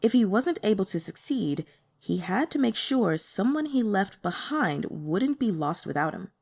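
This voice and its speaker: Woman narrator with lively, expressive intonation. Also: mid-range voice, unhurried, slightly rough. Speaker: audiobook reader.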